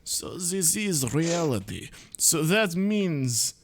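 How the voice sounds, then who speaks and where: A young man doing a mock Russian accent as a brash Soviet-loving Russian, reading a visual novel aloud in a hammy, deadpan way. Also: on the high side, takes it slowly, dry recording, full character voice. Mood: scornful. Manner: theatrical, hammy, deadpan grumbling. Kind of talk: comic read-through with friends.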